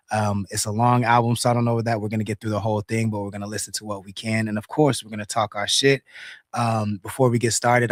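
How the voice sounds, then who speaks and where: A man hosting a live radio show, raspy from being under the weather, talking in loose slang with many fillers and restarts. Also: on the low side, no echo, clean studio sound. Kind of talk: casual live radio talk.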